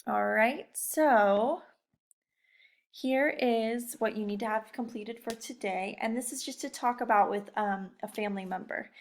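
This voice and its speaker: A young woman teacher chatting casually and encouragingly to children, often putting questions straight to her listeners. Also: medium pitch. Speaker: teacher leading a read-aloud lesson.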